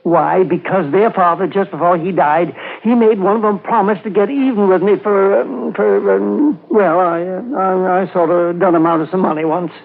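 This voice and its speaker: A man's voice: high-pitched, fairly even intonation, normal speed, creaky, hardly any pauses. An actor playing a cantankerous old American man, curt and domineering, in a 1950s radio drama with tinny sound. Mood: defensive, irritable. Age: older. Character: cantankerous, crooked rich old man of seventy-four, fearful. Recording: tinny narrow-band old radio recording.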